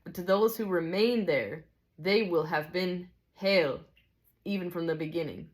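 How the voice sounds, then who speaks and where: A woman voicing a wise old Scottish teacher, patient and deliberate, with an attempted Scottish accent and old-fashioned phrasing. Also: on the low side, lightly acted. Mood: patient, instructive.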